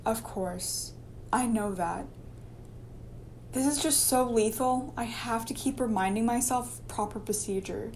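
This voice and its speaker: Actress as an anxious, higher-pitched woman scientist. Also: unhurried, darkish tone, slight background noise. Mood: earnest, cautioning. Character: anxious, careful woman scientist in an infested lab. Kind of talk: amateur horror radio drama acting.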